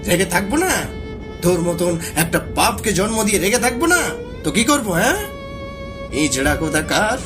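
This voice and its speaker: A man playing a bitter, grieving middle-aged village father in an animated story, with a heavy, reproachful delivery. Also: sits high, moderate pace, long pauses, over music. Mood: furious. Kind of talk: acted dialogue and recounting in animated story.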